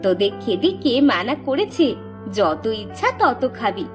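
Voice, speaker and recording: A woman voicing an expressive village aunt in a cartoon, with a homely, coaxing and scolding manner. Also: medium pitch, measured pace, over music. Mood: hospitable. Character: village aunt, hospitable upfront, grumbling privately. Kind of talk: amateur cartoon dubbing.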